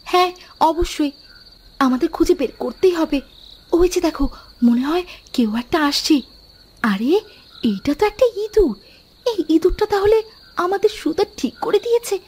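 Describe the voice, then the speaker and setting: High-voiced kind tailor's wife played by a woman. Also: lively intonation, moderate pace, long pauses, a little mellow. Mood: eager, surprised. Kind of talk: storybook cartoon narration and acting.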